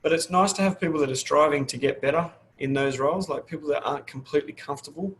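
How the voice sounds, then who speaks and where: A man's voice: on the high side, quick pace, a bit bright, a little hiss or hum, rough recording. A middle-aged man, a fire service manager talking openly in an interview, restarting his sentences often. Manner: open, rambling, many restarts. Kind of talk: casual interview talk.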